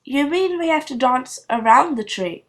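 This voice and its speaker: A woman's voice: high voice, lively intonation, moderate pace, fairly bright tone. A woman voicing a miserable, wide-eyed little boy in a storybook reading, plaintive and hesitant. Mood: puzzled. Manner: plaintive, stammering.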